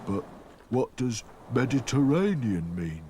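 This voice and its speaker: A man voicing a slow, literal-minded and puzzled male rag doll in a cartoon. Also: mid-range voice, big pitch swings, a little mellow, a little hiss or hum.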